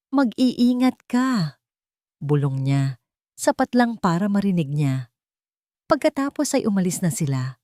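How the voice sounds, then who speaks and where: Low-pitched woman narrating a dramatic story. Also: mellow, dry recording, studio quality.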